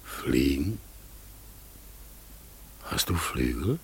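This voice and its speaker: A man playing a melancholy outcast talking raven in a radio play, solemn, gravelly and husky, sometimes sinking into whispers. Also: extremely low pitch, expressive, a little hiss or hum, full character voice. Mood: puzzled, cautious. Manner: slow, solemn, mournful.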